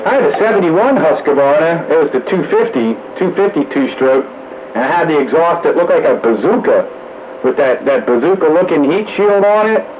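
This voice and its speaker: Clear static surrounds an older man with a slightly high voice. He talks casually over a dull, lo-fi radio link. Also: lively intonation, moderate pace. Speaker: radio roundup participant.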